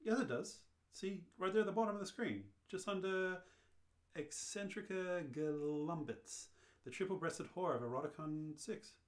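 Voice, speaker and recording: An amateur reader plays a worldly alien hitchhiker in a radio play. The voice sits at a medium pitch and delivers lines in a dry, matter-of-fact, deadpan way. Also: moderate pace, close to an everyday voice. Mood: dry, unfazed.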